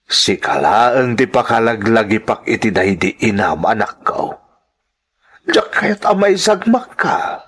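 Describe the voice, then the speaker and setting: Man playing protective widowed father, Ilocano radio drama, grave pleading delivery, widely swinging pitch. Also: very animated, takes it slowly, a bit bright, breathy edge, heavily acted. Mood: worried pleading. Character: worried, protective widowed father advising his grown daughter.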